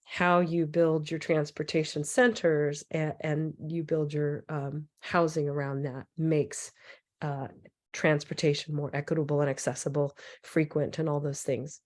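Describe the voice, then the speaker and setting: A woman with a raspy voice, hoarse from a cold, giving a loose, rambling presentation with many fillers over a computer mic on a video call. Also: sits low, flat delivery, a little slow, darkish tone. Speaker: transit equity advocate presenter.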